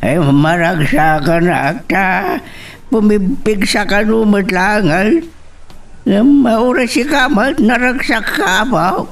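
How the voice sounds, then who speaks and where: A man's voice: high-pitched, takes it slowly, creaky, exaggerated voice. A man playing a kindly, easygoing father in a radio drama. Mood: cheerful. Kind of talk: Ilocano radio drama acting. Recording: slightly distant microphone.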